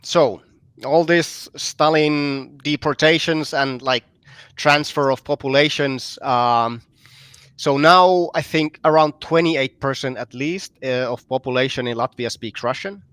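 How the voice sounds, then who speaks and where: A man's voice: medium pitch, measured pace, fairly bright tone, clean tone. A man from Finland speaks in a mid-range voice, thoughtful and often hesitating over his words. He puts his questions in a casual panel chat. The sound is dry and close, with no room echo. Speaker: podcast co-host, commentator.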